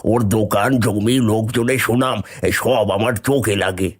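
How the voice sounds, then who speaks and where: A man voicing an envious, scheming poor villager who grumbles bitterly in an animated moral story. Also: on the low side, moderate pace, close to an everyday voice. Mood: envious grumbling.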